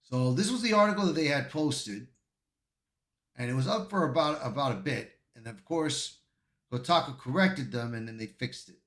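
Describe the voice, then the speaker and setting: A man's voice: mid-range voice, expressive, normal speed, fairly bright tone. A man giving online commentary, reading out an article with casual asides and stumbling over some words. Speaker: YouTube commentator. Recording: echoey untreated room.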